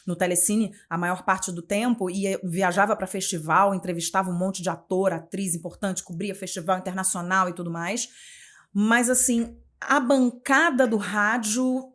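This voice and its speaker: Carioca woman in her forties, journalist guest on an interview show, expressive and chatty, often restarting phrases and adding tag questions. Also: medium pitch, normal speed. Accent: Carioca, Rio de Janeiro. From Rio de Janeiro, Brazil. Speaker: guest, journalist and communication mentor. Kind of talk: casual interview conversation.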